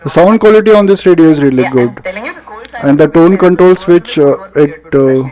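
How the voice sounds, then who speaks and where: A man with an Indian accent giving a casual, halting product demonstration as a radio reviewer. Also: a little high, dark tone, poor audio. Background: radio music playing.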